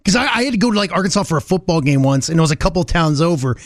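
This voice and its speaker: Male radio co-host, high and very lively, slangy conversational delivery. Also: very fast, no echo, clean studio sound. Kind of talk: casual morning radio banter.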